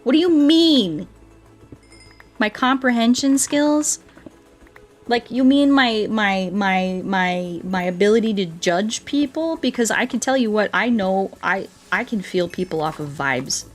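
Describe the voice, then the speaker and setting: An American woman chatting casually as she streams a game, telling things in an animated way and repeating herself for emphasis, with music behind her. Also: medium pitch, measured pace. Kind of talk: casual chat while playing a game, reading viewer comments.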